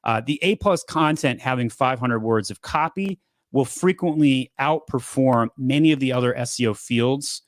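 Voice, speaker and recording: A man in his thirties hosting a casual live Q&A from a home studio, upbeat and quick, often repeating words as he talks. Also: medium pitch, no echo. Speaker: host. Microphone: studio mic on boom arm.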